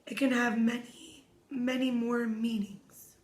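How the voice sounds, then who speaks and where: A hoarse woman giving a reflective self-help talk as a wellness coach, speaking softly and meditatively and often dropping to a whisper. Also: fairly high pitch, little pitch movement, takes it slowly. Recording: echoey room.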